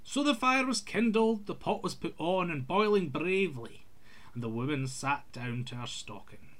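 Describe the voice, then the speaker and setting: A man's voice: a little high, expressive, moderate pace, fairly bright tone. A man reading a scripted folk tale aloud in an old-fashioned literary storytelling manner.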